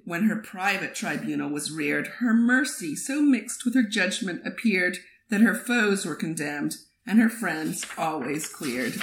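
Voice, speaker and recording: A woman reads poetry aloud expressively, with measured, metrical phrasing, as a poet would. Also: fairly low pitch, a bit bright. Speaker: poet reading a poem aloud. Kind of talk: expressive poetry reading with brief asides.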